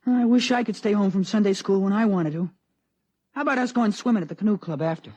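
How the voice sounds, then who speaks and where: Woman acting brash, husky-voiced young boy. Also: medium pitch, fast, warm tone, no room sound, lightly acted. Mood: teasing. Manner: slangy, mocking, boisterous. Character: brash, teasing young boy, a school pal. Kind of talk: 1950s radio drama acting.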